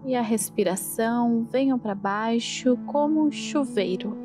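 A woman with a Brazilian accent, calmly interpreting a guided meditation in measured phrases. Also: on the high side. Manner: calm, measured. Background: meditation music.

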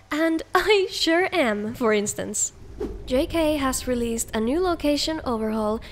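A woman hosting a video, reading scripted narration in an upbeat, enthusiastic presenting manner. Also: high voice. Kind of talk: scripted narration of game mod showcase.

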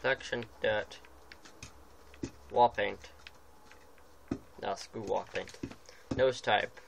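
A man's voice: lowish voice, steady tone, drawn-out words, gravelly, rough recording. A young man joking and teasing a friend while making a game character at home. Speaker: gamer playing with a friend. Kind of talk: casual banter over gameplay. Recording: home video with faint background noise.

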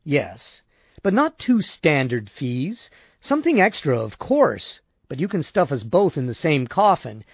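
Man as a blunt, wry, animated male customer. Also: fairly high pitch, measured pace, gravelly, breathy edge, no echo, highs cut off, heavily acted. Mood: impatient, stubborn. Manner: curt, sardonic.

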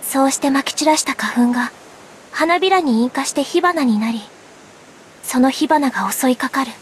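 A woman playing a gentle, quiet teenage girl in an anime game, speaking in a reserved, sincere way. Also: high voice, moderate pace, a little hiss or hum, lightly acted. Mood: calm, solemn. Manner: soft-spoken, reserved, sincere.

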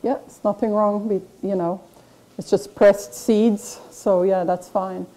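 A woman's voice: mid-range voice, steady tone, a little slow, warm tone, slight background noise, poor audio. A middle-aged woman chef giving a casual cooking demonstration in a large kitchen classroom, chatty and explanatory with lots of fillers.

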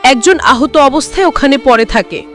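A high-voiced, earnest cartoon bird, played by a woman. The grave friend speaks with dramatic emphasis, like a worried storyteller, with music underneath. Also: moderate pace, a bit bright. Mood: grave, warning. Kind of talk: children's cartoon story acting.

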